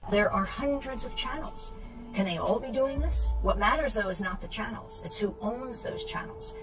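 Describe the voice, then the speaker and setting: A woman's voice: a little high, moderate pace. A woman giving earnest, emphatic advocacy commentary. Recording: distant clip under music.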